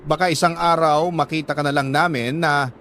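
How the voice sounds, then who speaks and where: A Filipino man voicing a concerned neighbor woman who gravely warns a girl in a radio story. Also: fairly high pitch, steady tone, a little fast, a bit bright, a little hiss or hum, natural voice.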